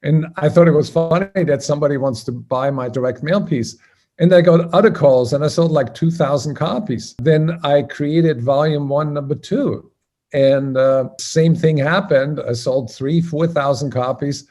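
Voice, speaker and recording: A man's voice: on the high side, normal speed. An older man with an Austrian accent, a guest telling his story in an easygoing way, with frequent "uh" fillers. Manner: relaxed, conversational, frequent uh fillers. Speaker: guest, business founder. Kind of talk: storytelling interview answers.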